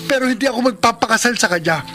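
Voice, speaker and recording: An actor with a Filipino accent playing a remorseful, devoted young lover in a radio drama. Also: male voice, very high voice, animated, rapid-fire, full character voice. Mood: apologetic. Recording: loud music under the voice.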